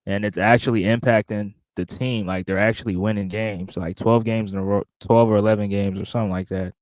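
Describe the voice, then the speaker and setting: Low-voiced man, dry and deliberate. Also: fairly even intonation, darkish tone, dry recording. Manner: measured, hedging, deliberate. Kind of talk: casual sports chat.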